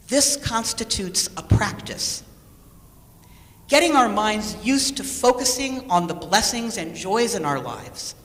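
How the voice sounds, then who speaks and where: A woman delivers a scripted sermon in a bright, forceful voice with lively rises and falls. She is deliberate and unhurried, pausing on key words. Her words ring through an echoey hall. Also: medium pitch. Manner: measured, deliberate, with pauses for emphasis. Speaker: lay speaker reading a sermon. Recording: echoey hall with long reverb.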